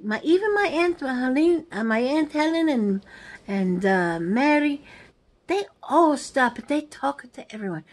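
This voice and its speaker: An older woman tells family stories in a put-on Italian-American accent, rambling from one memory to the next. Her voice sits high for a woman, and she talks straight to her viewers in a chatty, offhand way. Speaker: vlogger, family storyteller.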